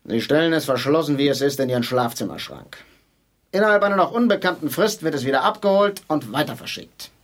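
An actor playing a solemn, officious courier in a radio drama, speaking formally and precisely. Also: male voice, fairly high pitch, lively intonation, a little fast. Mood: precise, instructing. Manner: formal, matter-of-fact, precise. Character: officious male courier on a secret mission, solemn. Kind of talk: theatrical radio drama dialogue.

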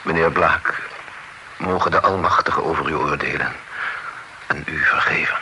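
Emphatic earnest man in radio drama, sharp bright low voice, faint hiss. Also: moderate pace, full character voice. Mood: solemn. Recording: distant radio recording with faint noise.

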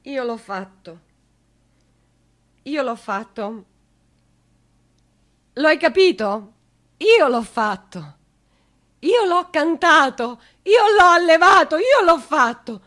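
A woman's voice: sits high, very animated, slow pace, long pauses, a bit bright, voice pushed up, heavily acted. A desperate, screaming, grieving woman. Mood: frantic pleading. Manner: pleading, repetitive, swinging from whispers to screams. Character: desperate grieving woman begging to be tortured instead. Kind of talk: theatrical monologue on stage or radio.

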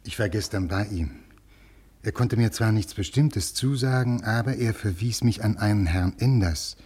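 A nervous, polite private scholar in a fifties radio drama. His low voice is very husky and breathy, often sinking toward a whisper, timid and worn out. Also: moderate pace, a little mellow. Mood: anxious, hopeful. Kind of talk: 1950s German radio drama acting.

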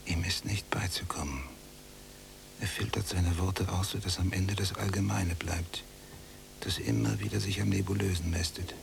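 An actor playing a resentful, long-confined prisoner in a radio play, brooding and deliberate, often hushed and gravelly. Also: male voice, low voice, flat delivery, a little hiss or hum. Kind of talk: literary radio play acting, monologue and dialogue.

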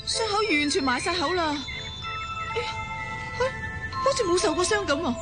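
A woman playing a concerned, inquisitive doctor on an expedition in a radio drama, earnest and expressive. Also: very high-pitched, normal speed, loud music behind the voice. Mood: astonished. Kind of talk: Cantonese radio drama acting.

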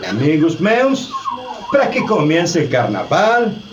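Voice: medium pitch, wildly swooping pitch, slow pace, lo-fi sound, background noise. An actor playing a jolly, teasing carnival barker in a radio play, with a showy sing-song delivery and tinged Spanish. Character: jolly, teasing Brazilian carnival barker inviting people along. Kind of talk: theatrical radio drama acting.

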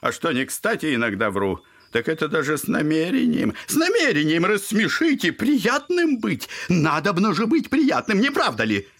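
A man's voice: sits high, very animated, moderate pace, vocal fry, hardly any pauses, a bit bright, exaggerated voice. An actor playing a garrulous, clownish old father in an audio drama, fawning and theatrical, rambling on with mock politeness. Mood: gleeful, clowning.

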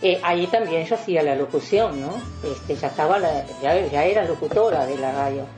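An older Uruguayan woman speaks in a low voice, recalling her years as a radio announcer. She talks haltingly and rambles from one memory to another, with faint music behind her. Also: lively intonation, a little mellow. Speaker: veteran radio announcer being interviewed. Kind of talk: reminiscing in a radio interview.

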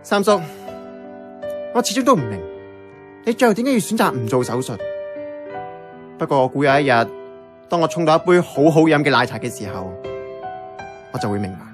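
A man's voice: fairly high pitch, very animated, brisk, pauses often. An actor playing an earnest, grieving young male heir in a Hong Kong Cantonese radio drama, speaking a reflective inner monologue. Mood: sorrowful, reflective. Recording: music under the voice.

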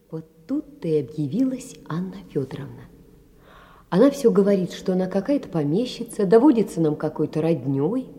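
An actress playing a poor, devoted, anxious young woman in a theatrical audio drama, telling her story in an expressive, intimate way. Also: medium pitch, natural voice. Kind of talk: theatrical audio drama, reading letters and memoirs.

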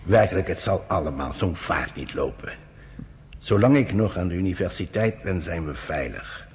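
Confident professor dad, really deep lively voice, lectures. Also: male voice, moderate pace, breathy edge, full character voice. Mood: reassuring. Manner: reassuring, lecturing. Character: self-assured man professor, father. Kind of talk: radio drama acting.

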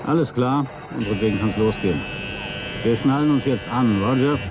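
A man's voice: mid-range voice, brisk, natural voice. An actor playing a wry male astronaut in a radio play, giving clipped, matter-of-fact flight reports.

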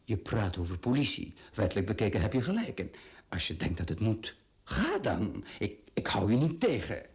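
A man's voice: on the low side, sing-song, moderate pace. A man acting a ruthless, condescending self-made business tycoon in a radio play, with a Flemish accent and a mocking, commanding way of talking. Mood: persuasive, patronising.

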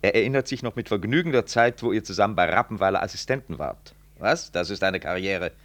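An actor playing a jovial, self-important medical professor in a 1960s radio drama, with a breezy, chummy manner. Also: male voice, on the low side, lively intonation, fast, fairly bright tone. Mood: chummy, pleased. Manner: breezy, chummy, name-dropping. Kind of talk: 1960s German-language radio drama acting.

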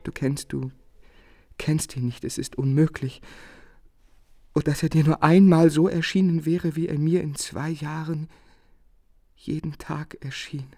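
An actor playing an impassioned young male lover in a radio drama, speaking haltingly and fervently, often hushed. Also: on the high side, steady tone. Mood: fervent, pleading. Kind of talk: radio drama acting of a literary adaptation.

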